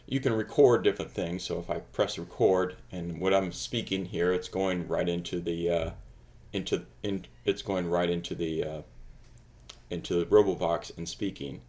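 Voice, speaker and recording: A man casually explaining a homemade project in a halting, unscripted how-to style, rephrasing as he goes. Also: deep voice, steady tone, darkish tone. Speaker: hobbyist cosplay maker giving a demonstration.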